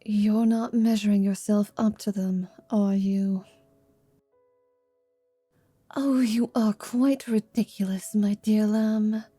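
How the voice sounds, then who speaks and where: A woman playing a confident, teasing half-vampire wizard in an intimate ASMR roleplay, speaking in a soft, languid whisper. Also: mid-range voice, fairly even intonation, a little slow, no room sound, soft background music, natural voice. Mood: seductive, challenging.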